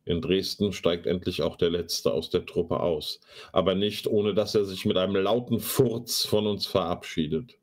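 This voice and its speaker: A man's voice: low-pitched, normal speed. A man, sarcastic and slangy, hosting a casual commentary show. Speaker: host of a live online talk show. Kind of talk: casual commentary with mocking read-aloud.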